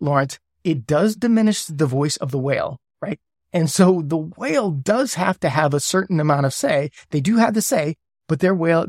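A man being interviewed as a guest who explains ideas step by step with worked examples. He often checks in with a quick "right?". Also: fairly high pitch, a little mellow, no echo, clean studio sound. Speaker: guest, governance lead.

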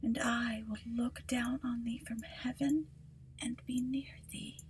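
A woman voicing a gentle, pious dying mother in a fairy tale, soft and whispery. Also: a little high, little pitch movement, slow pace, slight background noise, pitched above the performer's own voice. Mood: tender.

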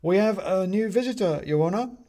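A man's voice: sits high, expressive, fairly quick, dark tone, pitched above the performer's own voice. A man voicing a deferential, homely little china figurine ghost in a storybook reading, respectful and earnest.

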